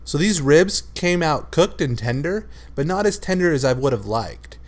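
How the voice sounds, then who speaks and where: A man hosting a cooking channel, narrating his recipe steps in a relaxed, matter-of-fact voice-over. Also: a little high, normal speed. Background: loud music.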